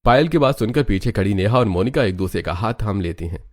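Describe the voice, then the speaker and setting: Low-pitched male story narrator. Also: expressive, fast.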